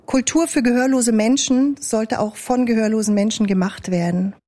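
A woman with a Swiss German accent interpreting aloud in the first person, measured and thoughtful, with small hesitations. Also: a little high, warm tone. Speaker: sign language interpreter.

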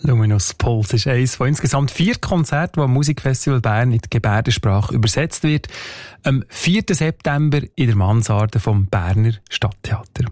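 Dry, plain Swiss German announcer. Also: male voice, mid-range voice, lively intonation, moderate pace, a little mellow, no room sound. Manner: plain informational read. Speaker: radio presenter. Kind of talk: scripted announcement.

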